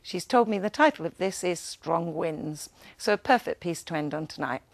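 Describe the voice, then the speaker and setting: A woman's voice: lowish voice, expressive, normal speed, no room sound. A woman presenting a scripted television weather forecast.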